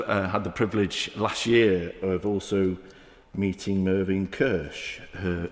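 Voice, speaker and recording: A man in his fifties with a Manchester accent, giving a halting parliamentary debate speech with many fillers and restarts. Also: deep voice. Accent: Mancunian. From England. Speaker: member of the House of Lords. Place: large echoing debating chamber. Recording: roomy chamber with long reverb.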